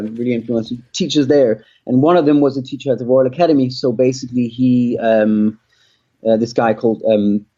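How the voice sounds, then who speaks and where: A man from Denmark in his thirties chats as a musician guest, his pitch sitting at a middling level. He talks in a loose, rambling way, full of ums and restarts. The recording sounds dull and lo-fi. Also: a little mellow. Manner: rambling, many fillers and restarts. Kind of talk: casual interview chat. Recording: dull lo-fi audio.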